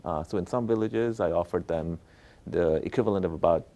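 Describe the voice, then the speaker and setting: A man's voice: sits low, a little fast, warm tone. A man from Bangladesh, an academic guest explaining research conversationally and often checking in with short tag questions. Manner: explanatory, checks in with "right?" and "okay?". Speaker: economist, professor interviewed as guest.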